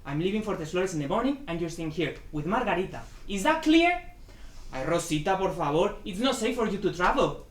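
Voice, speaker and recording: A woman's voice: lowish voice, sing-song, brisk. A woman acting a proud, stubborn Cuban husband in a dramatic play reading, emphatic and domineering, with Spanish endearments mixed in. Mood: tender, reassuring. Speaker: student actor. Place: indoor room, live play reading. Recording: echoey room with faint background noise.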